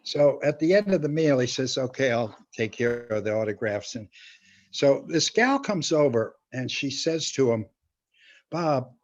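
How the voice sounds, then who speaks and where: An older man reminisces casually in a medium-pitched voice, rambling and voicing the people he quotes. The sound is dull and lo-fi. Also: lively intonation, a little slow. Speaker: storyteller, show-business veteran. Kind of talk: casual anecdote.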